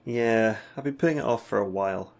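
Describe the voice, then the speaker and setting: A man playing a nervous, hesitant family man with a creaky voice in an audio drama. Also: lowish voice, brisk. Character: nervous family man about to undergo futuristic procedure.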